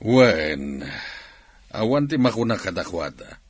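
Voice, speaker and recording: A man's voice: on the low side, big pitch swings, slow, faint music behind, heavily acted. A man playing a frank, argumentative villager in a radio drama, with an Ilocano accent. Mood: defensive, frank.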